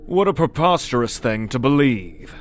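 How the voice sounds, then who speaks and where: A man's voice: medium pitch, big pitch swings, normal speed, soft background music, lightly acted. A man playing a religious zealot in an audio roleplay, solemn, preachy and condescending. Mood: stern, pious. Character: male religious zealot, sternly pious and condescending, craving favour. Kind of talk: scripted audio roleplay, ASMR drama.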